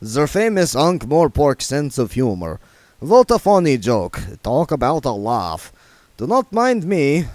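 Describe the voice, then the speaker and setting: A man's voice: fairly high pitch, big pitch swings, measured pace, darkish tone, dry recording, voice pushed up. A man voicing a fussy, reformed male vampire who speaks in a prim, indignant, overly formal way. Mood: sarcastic.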